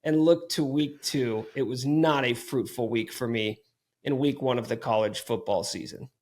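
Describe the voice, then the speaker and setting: A man's voice: mid-range voice, normal speed, darkish tone. A man hosting a casual sports podcast, talking in a playful, teasing, mock-bossy way. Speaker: podcast host.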